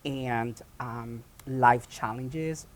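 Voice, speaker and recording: Guy from puerto rico talking slow and halting, lots of ums, mid voice, interview answers. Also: medium pitch. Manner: halting, many ums and restarts. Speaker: theatre founder and director.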